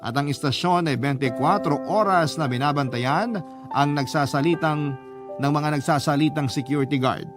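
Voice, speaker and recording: A Filipino man with a fairly high voice narrates a heartfelt letter in scripted radio drama style. Instrumental music plays clearly behind him.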